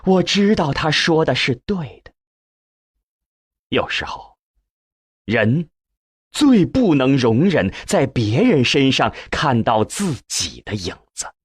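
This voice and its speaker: A man's voice: mid-range voice, sing-song, slow pace, pauses often, breathy edge, dry recording, clean studio sound. A man narrating a science fiction audiobook in the first person.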